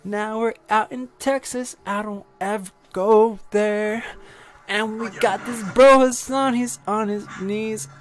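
Loud joking young man streaming a game. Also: sits high, steady tone, slow. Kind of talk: casual reaction commentary. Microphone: studio condenser on arm. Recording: game music in background.

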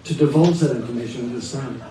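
A man trading dry, mock-formal jokes from a club stage. His voice sits at an ordinary pitch and carries the boom of a very echoey room, picked up from out in the audience with a chattering crowd around it. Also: fast talker, distant mic. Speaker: musician bantering on stage. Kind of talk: deadpan stage banter. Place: live music club stage. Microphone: stage mic heard from the audience.

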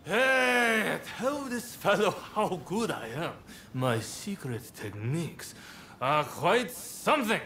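A man's voice: high voice, sing-song, slow, pushed and bright, full character voice. A man playing a tipsy, boastful samurai flirt in a video game comic scene. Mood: drunken bragging.

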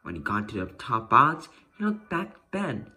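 Man, children's story narrator, lively intonation, bright forceful tone, read-aloud delivery. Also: mid-range voice, moderate pace. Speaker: storybook reader.

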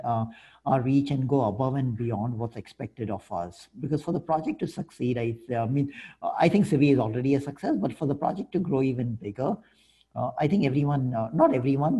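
Casting: middle-aged man, mellow tone, informal and hesitant, speaking on a video call. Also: medium pitch, breathy edge. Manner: hesitant, often ends phrases with "right?". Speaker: guest speaker, open source project founder. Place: indoor room, video call. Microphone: wired earphones on a video call.

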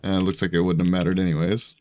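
A man with a hoarse, scratchy voice giving casual, rambling commentary over his own gameplay, full of fillers and restarts. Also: low voice, level tone, fast talker, darkish tone. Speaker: gaming video creator.

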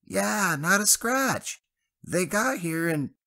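A man voicing an excitable young male friend in a high, strained voice. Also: slow pace, creaky, full character voice. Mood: relieved, excited. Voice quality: high strained voice, much raised.

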